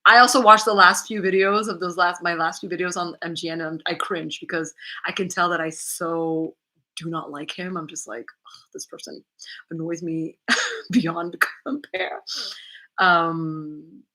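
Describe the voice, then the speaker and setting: Bright-voiced chatty woman on a livestream. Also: measured pace, poor audio. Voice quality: lower-voiced. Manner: candid, chatty, laughs often, trails off. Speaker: YouTuber hosting a livestream Q&A. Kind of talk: casual livestream chat reading comments. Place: living room at home.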